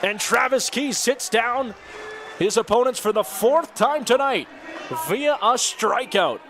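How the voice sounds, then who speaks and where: A man's voice: very high-pitched, slow pace, fairly bright tone, a faint crowd. A young man doing live, upbeat baseball play-by-play at an outdoor ballpark. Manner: upbeat, chatty, rises sharply on strikeouts. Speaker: play-by-play broadcaster.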